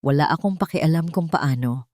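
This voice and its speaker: A woman's voice: deep voice, normal speed, mellow, no room sound, natural voice. A cold, commanding rich woman from a storybook drama, acted out by a woman narrator. She is ruthless and emotionless, on a dry, close recording. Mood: cold, menacing. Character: cold, ruthless rich businesswoman giving orders.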